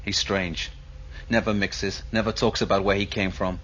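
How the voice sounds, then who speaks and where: A man's voice: on the low side, level tone, normal speed, fairly bright tone, slightly rough. An actor playing an edgy, sceptical starship henchman in a science fiction radio drama, sarcastic and questioning. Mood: uneasy, sceptical. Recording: dull recording with faint background noise.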